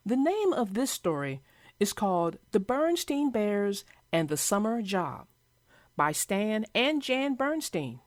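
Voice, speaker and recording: Animated woman reading a children's story. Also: medium pitch, slow pace, dry recording, clean studio sound. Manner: clear storytelling, addressing young listeners. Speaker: storybook narrator and host. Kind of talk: animated children's read-aloud narration.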